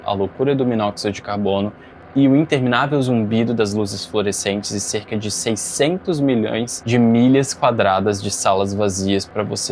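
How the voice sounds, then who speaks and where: A Brazilian man hosting and narrating an informative scripted video essay. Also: on the low side. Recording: faint background noise.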